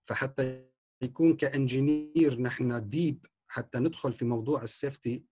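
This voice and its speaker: A man's voice: mid-range voice, fairly quick. A man, an engineer in an online meeting, making a suggestion with many hesitations and English technical terms. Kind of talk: earnest comment in an online meeting.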